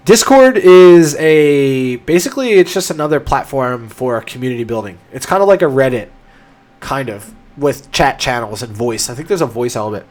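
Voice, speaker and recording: A young man hosting a casual livestream, answering chat questions with a stuffed-up nose and frequent restarts. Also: fairly high pitch. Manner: enthusiastic, explanatory, frequent restarts.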